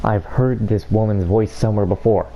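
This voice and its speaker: A man's voice: lowish voice, steady tone, normal speed, warm tone, low-quality recording. A man giving casual, off-the-cuff commentary while playing through a video game. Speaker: gaming YouTuber. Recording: faint background noise.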